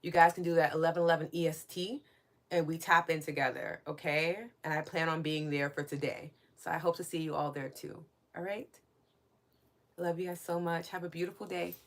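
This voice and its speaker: Woman, new york accent, lowish voice, chatty live-stream host, reads comments aloud. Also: fairly even intonation, brisk. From New York City. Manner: warm, chatty, reads out listener comments and thanks them. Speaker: podcast host and coach. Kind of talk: live-stream spiritual podcast chat.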